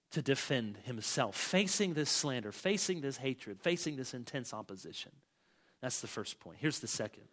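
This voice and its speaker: A middle-aged male pastor preaching an expository sermon, swinging between loud, stressed phrases and quiet asides. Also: on the high side. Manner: emphatic, with big swings in loudness.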